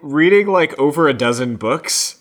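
A man's voice: on the high side, lively intonation, moderate pace. A man co-hosting a podcast in casual chat, with an informal, wry and joking manner. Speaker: podcast co-host.

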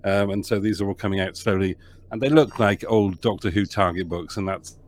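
A man's voice: deep voice, steady tone, brisk, a little hiss or hum. A man who is a producer and writer, guesting on a podcast over a video call, joking and rambling with frequent laughs. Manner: jokey, rambling, laughs a lot. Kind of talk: casual interview chat.

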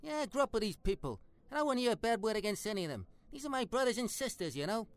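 A brash Italian-American hard man in a comedy sketch, with a very high-pitched voice and a New York accent. He is profane, slangy and menacing, recorded close and dry. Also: big pitch swings, quick pace, no echo. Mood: cocky. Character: foul-mouthed, bigoted Italian-American tough guy, brash and menacing. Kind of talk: comic dialogue acting.

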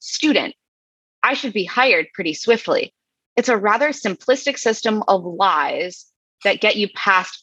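A woman co-host with a bright, forceful and somewhat husky voice. She reads comments aloud in a deadpan, slow and weighty way. The sound is dry and a little dull, like a lo-fi mic. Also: medium pitch, dry recording. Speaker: podcast co-host. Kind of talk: dramatic reading of comments.

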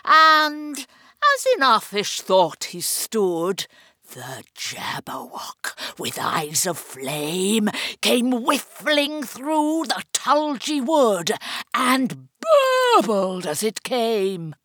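A woman giving a theatrical recitation of a nonsense poem, deliberate and emphatic. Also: a little high, wildly swooping pitch, pushed and bright, no echo, studio quality. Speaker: reader of a poem.

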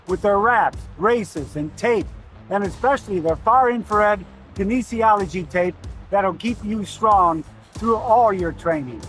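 A male announcer delivers a scripted sponsor spot in an upbeat, selling tone. His voice sits high for a man, and quiet music runs underneath. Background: soft music.

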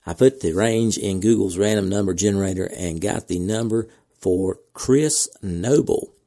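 A man hosting a podcast, reading announcements in a measured, deliberate way with casual asides, his voice cracking from a slight cold. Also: sits low, warm tone. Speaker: podcast host.

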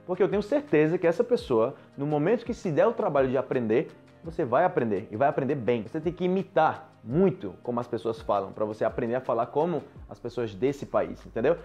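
A man's voice: medium pitch, expressive, a little fast, dark tone, muffled recording, faint music behind. A Brazilian man with a Brazilian Portuguese accent, teaching Portuguese to foreigners in a chatty, repetitive way, talking straight to his viewers. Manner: chatty, repeats himself, addresses viewers directly. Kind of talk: casual teaching monologue.

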